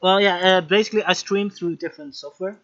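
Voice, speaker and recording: A man's voice: high voice, quick pace, fairly bright tone. Joking man hosting a live stream. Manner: chatty, joking, distracted by tech trouble. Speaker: host. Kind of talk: casual live stream chat. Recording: slightly dull lo-fi stream audio.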